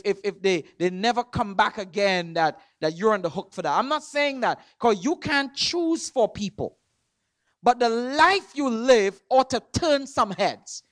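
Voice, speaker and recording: Emphatic Trinidadian preacher, dry sound. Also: male voice, sits high, no room sound. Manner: emphatic, repeats words, swings loud and soft. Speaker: guest preacher. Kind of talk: sermon. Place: church sanctuary.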